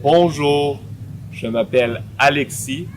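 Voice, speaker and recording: A man from Quebec, introducing himself slowly and plainly, outdoors. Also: on the high side, very animated. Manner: slow, plain self-introduction. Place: outdoors in the wind. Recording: wind and traffic noise, outdoor recording.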